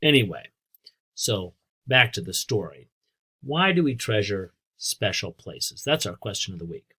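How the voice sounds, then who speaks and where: A husky-voiced American man reads aloud like a teacher with young pupils. His pace is unhurried and his pitch rises and falls in a lively way. He explains things in simple terms and talks straight to the children. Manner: simple, explanatory, addressing young listeners with questions. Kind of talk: read-aloud lesson with asides and questions.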